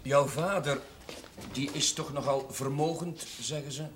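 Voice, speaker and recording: Actor as an anxious young Dutch curate. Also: male voice, on the high side, fairly even intonation, a little slow, lightly acted. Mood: probing. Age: young adult. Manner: hesitant, stammering. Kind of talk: naturalistic television drama acting. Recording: noisy old television soundtrack.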